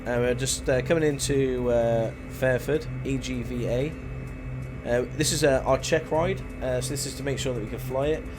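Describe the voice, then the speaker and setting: Halting, chatty man streaming a flight game. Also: medium pitch, music in the background. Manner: halting, chatty, thinking aloud. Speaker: live-stream host. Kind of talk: casual flight simulator stream commentary.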